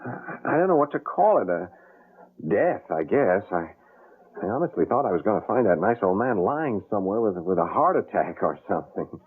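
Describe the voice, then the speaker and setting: An actor playing a wisecracking, slightly uneasy young husband in an old-time radio drama, on a tinny recording. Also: male voice, lowish voice, very animated, moderate pace, slightly rough. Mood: uneasy, sheepish. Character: young husband, wisecracking, uneasy about their new home.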